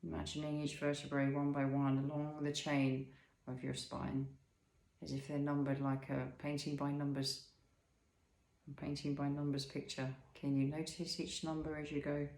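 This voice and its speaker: A man leading a guided body scan as an instructor, speaking in a soothing, unhurried way with pauses and gentle questions. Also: a little high, monotone, creaky, reverberant.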